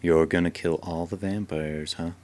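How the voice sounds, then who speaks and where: A veteran vampire hunter and doting father, played by a man in a radio drama. His voice sits low, and he talks in a joking, encouraging way. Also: fairly even intonation, normal speed, a little mellow, lightly acted. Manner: jokey, encouraging, laughs often. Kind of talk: hobby radio drama acting.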